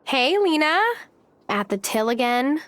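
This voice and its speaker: A woman's voice: sits high, expressive, unhurried, fairly bright tone. A woman voicing a friendly, curious young woman who has just moved to a farm, in a cozy video game. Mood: curious, teasing. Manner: friendly, curious, lightly teasing.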